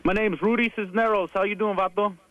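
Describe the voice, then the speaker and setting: A man's voice: high voice, fairly even intonation, fairly quick, no room sound, phone-quality sound, close to an everyday voice. A man playing a boastful young gangster caller on the phone, with a Spanish-influenced Los Angeles accent and drawling slang. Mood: swaggering. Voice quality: drawling slang-heavy street talk, tag 'eh'. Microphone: phone line.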